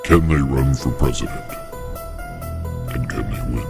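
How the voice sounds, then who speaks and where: A man's voice: a bass voice, unhurried, vocal fry, heavily acted. A man voicing an ominous, portentous narrator in a parody of an eerie vintage TV announcer. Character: ominous deep-voiced Twilight Zone style narrator. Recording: music bed under the voice.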